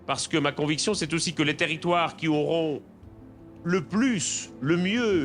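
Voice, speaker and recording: A man talks in a somewhat raised register. His delivery is deliberate and advisory, like an interviewee in a documentary. Music is clearly audible underneath. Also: on the high side. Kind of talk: interview commentary in a documentary film.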